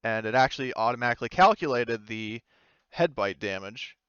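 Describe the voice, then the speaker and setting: A man's voice: mid-range voice, expressive, a little fast, fairly bright tone, clear, resonant tone, no room sound, lo-fi sound. A man acting as game master in a casual tabletop roleplaying session, hesitant and thinking aloud as he checks rules.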